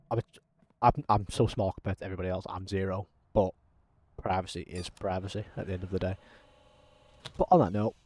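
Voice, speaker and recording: A man talking through a simulator game as a channel host, in a self-described monotone with frequent ums. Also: on the low side. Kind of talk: casual gameplay commentary.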